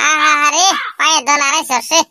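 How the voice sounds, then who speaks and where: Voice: high-pitched, level tone, normal speed, background noise, lightly acted. A performer voicing a sulky, mocking unemployed young friend in a comic cartoon, scolding in a rural Bangladeshi dialect.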